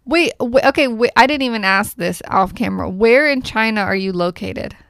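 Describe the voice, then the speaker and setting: Woman podcast host, playful, jokey, slightly high voice, close dry sound. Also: moderate pace, creaky, fairly bright tone, no echo. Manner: playful, jokey, theatrical swings in voice. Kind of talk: casual chat.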